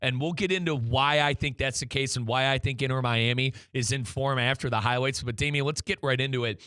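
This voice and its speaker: A man's voice: medium pitch, fairly even intonation, a little fast, a bit bright, smooth, no room sound. A man hosting a soccer talk show in a casual, opinionated and emphatic way. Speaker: soccer show host and radio play-by-play commentator.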